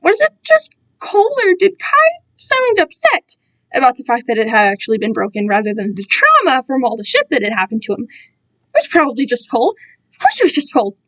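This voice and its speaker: A woman giving an amateur dramatic reading of a story, narrating in an expressive, emotive and informal manner. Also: sits high, a little fast, poor audio.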